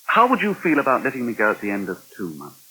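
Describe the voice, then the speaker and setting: An actor playing a polite, hesitant but determined British journalist in a 1980 radio soap. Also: male voice, medium pitch, huge pitch swings, quick pace, bright, forceful tone, slight background noise, low-quality recording. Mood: tentative.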